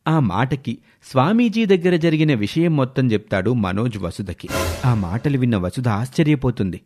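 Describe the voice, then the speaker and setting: A man's voice: mid-range voice, lively intonation, moderate pace, background noise. A man narrating a scripted story in the role of storyteller.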